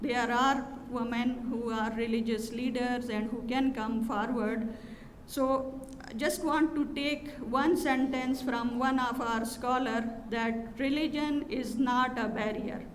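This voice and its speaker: Measured woman at a podium. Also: fairly high pitch, fairly even intonation. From Pakistan. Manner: measured, with frequent pauses and fillers. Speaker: conference speaker from an NGO. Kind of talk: formal conference address. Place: hotel conference hall. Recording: large hall reverb, faint noise.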